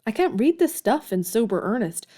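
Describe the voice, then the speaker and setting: A woman's voice: a little high, very animated, normal speed, mellow. An actress playing a proud, earnest young woman writer in a period audio drama, speaking formally and politely. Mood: troubled, earnest.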